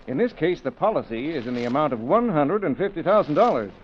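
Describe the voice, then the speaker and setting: An actor playing a formal, blunt, earnest middle-aged company manager in a 1940s radio drama. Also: male voice, a little high, sing-song, fast talker. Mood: earnest, uneasy. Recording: dull, faintly noisy vintage radio recording.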